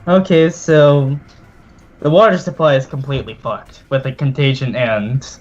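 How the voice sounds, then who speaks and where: A man's voice: medium pitch, fairly even intonation, normal speed. Casual man game master, dull lo-fi sound. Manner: casual, profane, hesitant narrating. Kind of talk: casual tabletop game narration.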